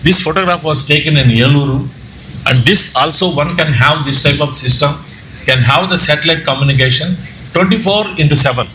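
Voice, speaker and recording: A man with an Indian Telugu accent giving an informal slide presentation, speaking haltingly with repeated words and self-corrections. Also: a little high, sharp tone. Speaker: presenter, amateur radio operator and trainer. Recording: echoey room with background noise.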